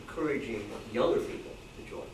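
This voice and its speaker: A man's voice: mid-range voice, a little fast. Distant, echoey man asking from the floor. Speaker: audience member asking a question. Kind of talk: informal question from the floor. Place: club meeting room, away from mic. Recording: distant, echoey room with clear noise.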